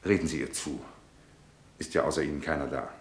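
Very deep-voiced, brooding husband. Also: male voice. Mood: agitated, weary. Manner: halting, broken, introspective phrases. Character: distraught middle-aged husband and father, brooding, bitter. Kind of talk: classic German radio play acting. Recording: roomy old radio recording with faint rumble.

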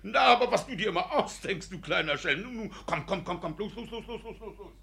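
An actor playing a jovial, fussy, middle-aged landowner father in a comic radio play, excitable and indulgent. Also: high-pitched, a little fast, gravelly, heavily acted. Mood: flustered, amused. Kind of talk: German radio play comedy acting.

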